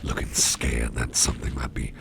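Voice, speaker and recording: A man playing a mischievous businessman boss in an intimate ASMR roleplay, murmuring in a halting, gravelly half-whisper. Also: rumbling low, completely flat delivery, noisy background, heavily acted. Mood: mischievous, amused. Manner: slow, halting, repeating phrases, softly chuckling. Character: mischievous, toothy businessman boss, affectionate and covert.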